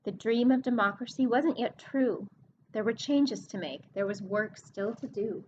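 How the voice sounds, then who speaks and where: A woman librarian reading a picture book aloud to children, expressive and explanatory, pausing to speak to her young listeners. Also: a little high, muffled recording, noisy background. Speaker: children's librarian hosting story time.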